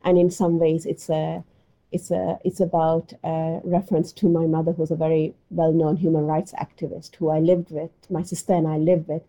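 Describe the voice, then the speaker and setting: Middle-aged woman academic from Sri Lanka, low and very dark voice, hesitant with restarts. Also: level tone, moderate pace, poor audio. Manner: hesitant, many restarts and fillers. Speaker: anthropologist guest. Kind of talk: interview answers.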